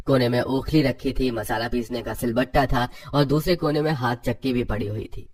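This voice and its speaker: A man's voice: mid-range voice, fairly even intonation, moderate pace, darkish tone, recorded from a distance. A man reading scripted story narration as the narrator.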